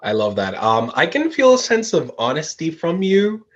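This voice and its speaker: A man's voice: on the high side, wildly swooping pitch, normal speed, a bit bright. Guy interviewing, hypes up answers, lots of ums, kinda lo-fi. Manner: enthusiastic, praising, with filler ums. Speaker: pageant analyst interviewer. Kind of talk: live interview.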